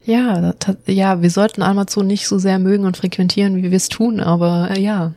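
A young woman chatting casually, full of fillers and quick laughs. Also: mid-range voice, fairly even intonation, dark tone, a little hiss or hum. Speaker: co-host. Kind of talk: casual chat. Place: living in Japan.

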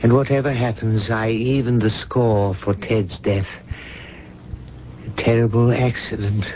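An actor playing an ailing, devoted man with dry dignity and a halting, weary delivery in a 1970s radio drama. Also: mid-range voice, steady tone, natural voice. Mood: weary, resigned. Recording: dull old radio recording with hiss.

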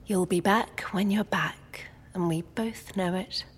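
An actress playing a composed, proud industrialist's wife in a noir audio drama, wry and precise. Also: female voice, medium pitch, fairly even intonation, slow, husky. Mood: resigned, wry.

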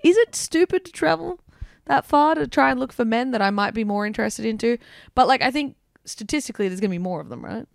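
A woman's voice: on the high side, lively intonation, fast talker, creaky, dry recording. Young Australian woman, podcast co-host, loose sweary banter, dry close sound. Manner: sweary, filler-heavy teasing. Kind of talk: casual chat. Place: abroad, travelling in Italy.

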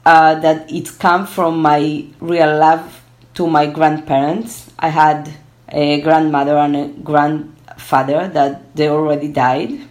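A woman in her thirties, a Spanish speaker, talking reflectively and haltingly as a guest in a conversational interview. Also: low-pitched, little pitch movement, a little mellow. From born in Argentina, moved to Israel at twelve. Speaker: interview guest, gerontology researcher.

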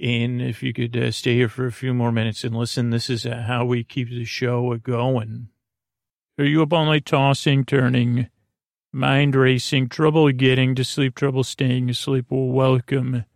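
A man hosting a sleep podcast with a creaky voice, rambling soothingly and haltingly through a bedtime monologue full of ums and pauses. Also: mid-range voice, little pitch movement, no room sound, clean studio sound. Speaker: podcast host.

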